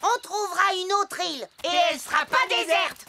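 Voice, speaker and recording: A woman's voice: extremely high pitch, brisk, gravelly, bright, forceful tone, dry recording, heavily acted. A woman voicing a small, friendly, easygoing animal child in a children's cartoon. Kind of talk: French animated children's series dubbing. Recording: music behind the voice.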